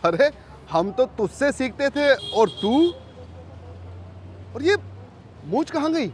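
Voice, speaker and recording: A chummy petrol pump worker in a training film, baffled and scolding. His voice is high for a man and his delivery is unhurried, questioning and familiar. There is faint noise behind him. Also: sing-song, exaggerated voice. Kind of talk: training film dialogue acting.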